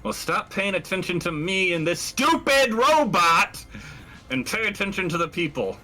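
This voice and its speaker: Sci-fi game captain, played by a man: brusque, commanding, bright forceful voice with animated, swooping pitch. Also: normal speed, faint music behind, exaggerated voice. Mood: curt, irritated. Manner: curt, commanding, intimidating. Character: brusque, commanding spaceship captain, putting on an angry show.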